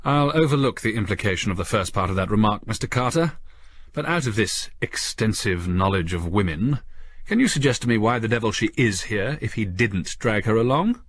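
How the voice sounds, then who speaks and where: A man's voice: fairly low pitch, animated, fairly quick. A man playing a stern, irritable spaceship captain with a dry, curt, sarcastic manner, in a sci-fi story reading. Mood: dry, sardonic.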